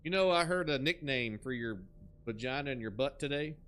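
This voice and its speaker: A man's voice: medium pitch, animated, fairly quick, fairly bright tone, smooth. A man hosting a live game stream, commentating with hammy announcer-style bits and crude jokes.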